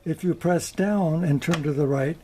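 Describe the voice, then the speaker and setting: An older man in his seventies narrating a scripted demonstration in a measured, methodical way. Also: fairly high pitch, background noise. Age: older, around 70s. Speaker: presenter of vintage electronics. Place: small indoor electronics workshop.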